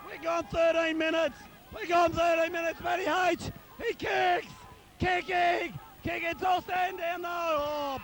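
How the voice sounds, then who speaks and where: Male Australian amateur footy caller, extremely high strained pitch, wildly excited and one-eyed, yells names over and over. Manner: shouty, wildly excited, repeats names and calls. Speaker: amateur football commentator. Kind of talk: excited partisan live sports commentary.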